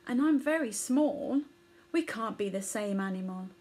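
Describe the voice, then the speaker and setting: A woman's voice: a little high, animated, moderate pace, darkish tone, close to an everyday voice. A woman voicing a curious, puzzled newborn boy elephant calf in a storybook, with an innocent, questioning manner.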